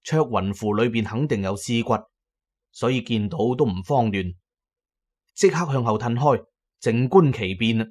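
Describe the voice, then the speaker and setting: A man with a Hong Kong Cantonese accent delivers scripted first-person narration for an audio drama. His voice sits at a medium pitch, and he tells the adventure tale as a storyteller. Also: lively intonation, moderate pace, a little mellow.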